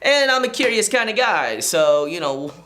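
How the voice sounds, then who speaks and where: Young man, co-host, bright, pitch jumping all over, joking around. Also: moderate pace. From Michigan, USA. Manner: animated, jokey storytelling. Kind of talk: casual chat. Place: indoor studio set.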